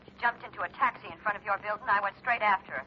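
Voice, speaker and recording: A woman's voice: medium pitch, very fast. An actress playing a shady nightlife woman phoning in, in 1940s radio drama style through a telephone filter. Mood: urgent, evasive. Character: female caller, shady nightlife dame on telephone. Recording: dull, faintly noisy old radio recording.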